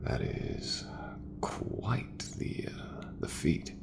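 A man playing an easygoing, folksy sci-fi mechanic in a soft, breathy, reassuring ASMR roleplay voice. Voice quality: gravelly, breathy, half-whispered. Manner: slow, unhurried, reassuring, colloquial. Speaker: voice actor.

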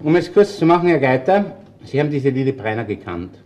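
Actor as a mellow, shrewd police inspector. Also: male voice, mid-range voice, big pitch swings, lightly acted. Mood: firm. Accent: Austrian. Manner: measured, courteous but firm. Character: composed, shrewd male chief police inspector. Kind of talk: radio crime drama acting.

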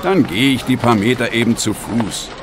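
A man's voice: medium pitch, normal speed, close to an everyday voice. An actor playing an assured, cheerful and stubborn older blind man in a wheelchair, in an audio drama. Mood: cheerful, determined. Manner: assured, reassuring, wistful. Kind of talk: German audio drama acting. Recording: noisy outdoor ambience behind.

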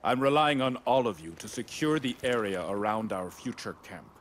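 A man's voice: mid-range voice, animated, moderate pace, slight background noise, close to an everyday voice. An actor playing a commanding, self-important Greek king in a video game cutscene. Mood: imperious. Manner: imperious.

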